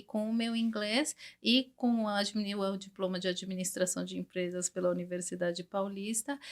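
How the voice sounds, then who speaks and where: Chilean-born woman in her forties, animated. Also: medium pitch. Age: 40s. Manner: animated, lots of tag questions. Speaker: guest, English teacher. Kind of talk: casual interview chat.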